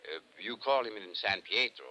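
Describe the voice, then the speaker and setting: An actor playing a worried, devoted father in a 1950s radio drama, speaking halting, formal, slightly broken phrases with earnest insistence. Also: lowish voice, expressive, pushed and bright, poor audio. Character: anxious, devoted middle-aged or older father, earnest and worried.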